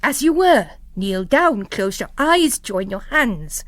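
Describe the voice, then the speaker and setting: A woman's voice: a little high, sing-song, slow pace, no echo. A woman voicing an impetuous, earnest young nun with an urgent, outspoken manner in a story reading. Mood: commanding, urgent.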